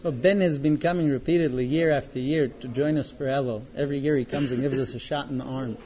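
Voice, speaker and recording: A man in his thirties giving an informal classroom talk as a teacher, speaking haltingly with frequent pauses. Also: medium pitch, fairly even intonation, a little mellow, a little hiss or hum. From born in Israel, later moved to the US. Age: 30s. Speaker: yeshiva teacher. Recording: dull, lo-fi sound.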